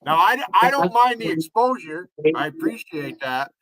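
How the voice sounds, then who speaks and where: A man's voice: high voice, big pitch swings, normal speed, background noise. A man hosting a live stream panel, talking firmly and lecturing a guest on etiquette. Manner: firm, scolding about etiquette. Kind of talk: casual live stream chat.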